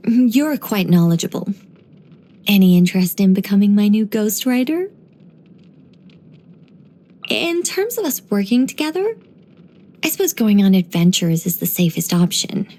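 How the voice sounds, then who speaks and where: A woman voicing a gentle, wry former angel turned human storyteller in a video game, musing and lightly teasing in an unhurried way. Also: mid-range voice, sing-song. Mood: teasing, confiding. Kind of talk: scripted video game character voice lines.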